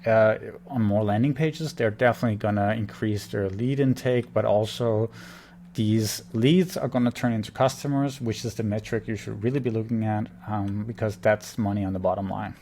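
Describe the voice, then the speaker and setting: Low, gravelly man critiquing on screen. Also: darkish tone, a little hiss or hum. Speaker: conversion-rate marketing consultant. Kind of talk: unscripted screen-share website critique.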